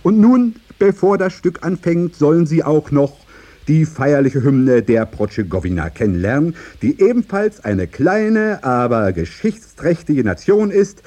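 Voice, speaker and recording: Radio announcer, highly animated pitch, mellow tone, ceremonious, mock-solemn, scripted 1950s style. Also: male voice. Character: solemn male announcer introducing a satirical play.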